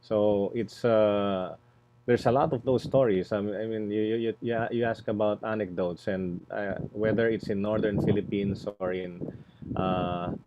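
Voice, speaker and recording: A man speaking as an NGO panelist, deliberate and halting, often repeating words as he searches for phrasing. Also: lowish voice, steady tone. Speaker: environmental NGO executive, panel presenter. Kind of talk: spontaneous panel presentation. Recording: muffled, noisy online webinar audio.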